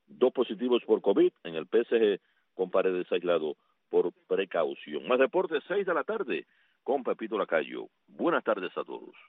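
Deep-voiced sports reporter over a phone line. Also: male voice, big pitch swings, normal speed. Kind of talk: radio sports news. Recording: dry, tinny phone-like line.

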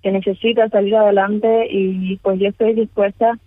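A woman's voice: medium pitch, little pitch movement, moderate pace, dry recording. A Cuban woman, a singer, chatting as a guest on a radio show over the phone, with many hesitations and fillers. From Cuba. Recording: tinny phone line.

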